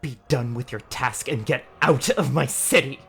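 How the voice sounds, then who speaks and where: Woman acting a deep-voiced, commanding fierce vizier. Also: vocal fry, soft background music. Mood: bitter fury. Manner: imperious, deliberate. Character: fierce, commanding female vizier and poison master, grieving. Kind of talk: audio drama acting.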